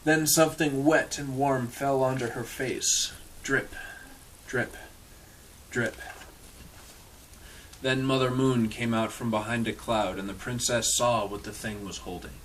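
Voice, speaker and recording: A man with a medium-pitched voice reads a storybook aloud. His delivery is dry and irreverent, with casual asides. There is some faint noise and clicking in the recording. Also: steady tone, measured pace, pauses often, fairly bright tone, poor audio. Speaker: storyteller reading a picture book aloud to an audience.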